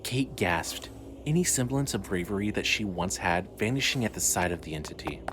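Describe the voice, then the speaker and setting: Male narrator for horror fiction: fairly low voice, scripted storytelling, faint ambience underneath. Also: noisy background.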